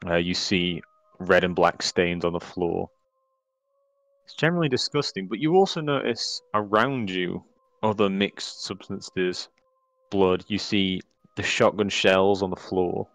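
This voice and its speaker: A man narrating a tabletop horror game as game master, in a relaxed and wry way with many fillers. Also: low-pitched, measured pace, no room sound.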